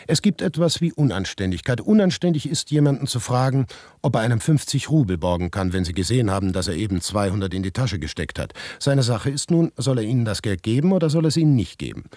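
A man's voice: medium pitch, expressive, quick pace, a little mellow, no echo, pitched above the performer's own voice, lightly acted. A man voicing an opinionated, dry-witted male drinking companion in a radio play, with pedantic, emphatic delivery. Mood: indignant, pedantic.

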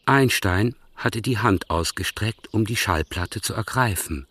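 A composed radio drama narrator with a husky, lowish voice. The delivery is measured and literary, detached and matter-of-fact, in a very dry studio sound. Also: male voice, a bit bright, no echo. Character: detached, composed male storyteller. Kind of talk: scripted radio play narration.

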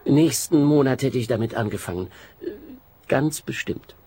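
A man's voice: medium pitch, lively intonation, moderate pace, mellow, a little hiss or hum, close to an everyday voice. An actor playing an evasive, stubborn killer in a detective radio play, defensive in the way he talks. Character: evasive, stubborn grown son turned killer.

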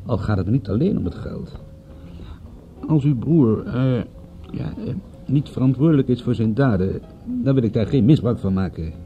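An actor in a Dutch radio play voicing a hard-up private detective who is direct, dry and sardonic. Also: male voice, medium pitch, lively intonation, normal speed, close to an everyday voice. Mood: businesslike. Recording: muffled old radio recording, faint music.

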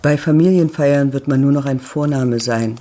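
A performer in a literary radio drama, playing a solemn narrator who recites idioms and reflections sentence by sentence, deliberately. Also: medium pitch, dark tone, lightly acted. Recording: faint music behind the voice.

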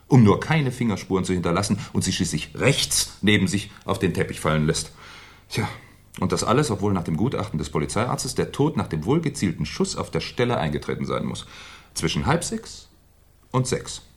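An actor playing a shrewd, sardonic Paris police inspector in a 1970s German radio crime play, deliberate and mock-courteous. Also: male voice, lowish voice, breathy edge. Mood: sardonic lecturing.

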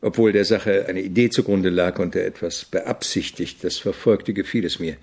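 An actor playing an opinionated, irritable avant-garde composer in a German radio drama, speaking in a didactic, deliberate way with a gravelly, husky voice. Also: male voice, low voice, steady tone, a little mellow. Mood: reflective, didactic.

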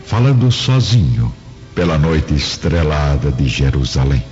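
A man's voice: extremely low pitch, expressive, unhurried, a little mellow. A man giving dramatic scripted narration for a radio drama. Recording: muffled, music behind the voice.

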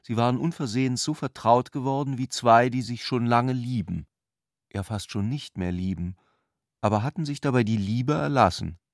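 A man's voice: mid-range voice, moderate pace, no room sound, clean studio sound. A man narrating a literary radio drama as a scripted storyteller.

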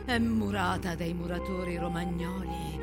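An actress performing as an impassioned storyteller of war horrors, declaiming a theatrical monologue in an intense, incantatory way. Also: female voice, lowish voice, steady tone, measured pace. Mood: dark, solemn. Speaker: stage actress and narrator. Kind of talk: theatrical dramatic monologue set to music. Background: music.

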